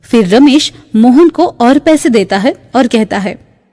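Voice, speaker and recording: A woman's voice: on the high side, lively intonation, slow pace, dark tone. A woman narrating a scripted moral tale for a cartoon in a storytelling style. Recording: long reverb.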